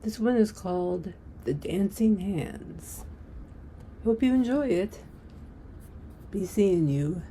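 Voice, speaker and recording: Lady podcast host, soft dark tone, reading her intro script, bit of background noise. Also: medium pitch, lively intonation, slow, long pauses.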